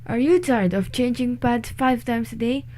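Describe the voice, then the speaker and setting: A woman's voice: fairly high pitch, normal speed, darkish tone, lightly acted. A woman playing an upbeat commercial announcer reading a scripted radio advert. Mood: salesy. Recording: faint background noise.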